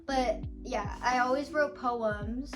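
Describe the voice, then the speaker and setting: A woman's voice: a little high, takes it slowly, low-quality recording. A young woman, a songwriter, chatting casually and rambling with lots of "like" and small laughs. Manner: rambling, full of "like" and little laughs. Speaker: songwriter answering fan questions. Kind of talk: casual chat. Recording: guitar music playing under the voice. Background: guitar music.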